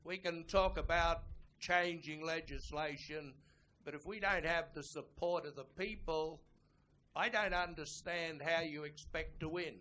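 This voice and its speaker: An insistent, earnest man asks a question from the audience. His voice is fairly high for a man and sharply bright, recorded live in a room with some light noise. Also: steady tone, a little slow, rough recording. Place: indoor conference session.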